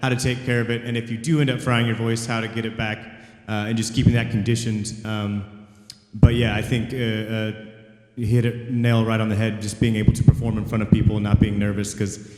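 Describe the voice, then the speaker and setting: A man speaks in an even, flat tone on a convention panel and sounds earnest. His voice carries through a large hall with a long, roomy reverb. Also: on the low side, fairly quick. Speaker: voice actor on a panel.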